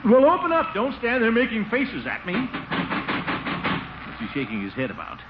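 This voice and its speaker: Pompous, wildly animated blustering man. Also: sits high, normal speed. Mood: indignant. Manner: blustering, theatrical, exclamatory. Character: pompous, excitable, boastful middle-aged water commissioner. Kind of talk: 1940s radio comedy acting. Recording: muffled, noisy 1940s radio recording.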